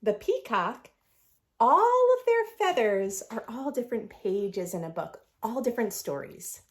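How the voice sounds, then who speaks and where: High-pitched, animated woman reading to children. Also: measured pace. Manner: expressive, sing-song storytelling for young children. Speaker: children's read-aloud host. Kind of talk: expressive picture-book read-aloud with asides.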